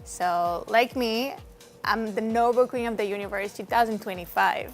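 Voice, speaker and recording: A woman's voice: a little high, normal speed, faint music behind. A young woman raised in Brazil, a beauty queen guest on a studio talk show, speaking friendly English sprinkled with Filipino words.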